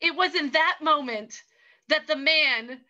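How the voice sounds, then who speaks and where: A woman narrator with a high, bright voice. She tells an improvised tale like a storybook, sounding amused as she makes it up. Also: unhurried, highs cut off.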